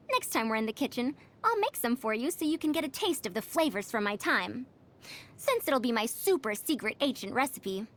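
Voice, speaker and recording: A woman voicing a proud, fussy century-old scholar with a youthful girlish voice, pompous and lecturing, for a video game. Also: high voice. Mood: eager. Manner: pompous, lecturing, old-fashioned elder phrasing. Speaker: game character voice. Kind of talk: animated video game voice lines.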